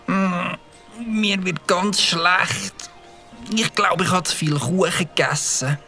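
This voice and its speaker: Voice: mid-range voice, takes it slowly, bright, forceful tone, faint music behind. An actor voicing a fed-up young male beaver in a cartoon, grumbling. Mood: queasy. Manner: grumbling, exasperated.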